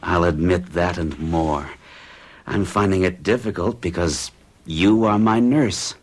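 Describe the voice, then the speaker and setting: A troubled young man telling his story in a lowish, earnest voice. He hesitates as he recounts his growing unease. The delivery is classic radio drama. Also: measured pace. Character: troubled young American man, hero in love and afraid. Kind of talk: 1970s radio drama acting.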